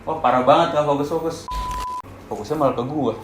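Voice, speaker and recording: A young man with a Jakarta Indonesian accent chatting casually and excitably as he hosts an unboxing vlog. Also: fairly high pitch, a little fast. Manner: excitable, colloquial. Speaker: vlog host. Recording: echoey room with background music.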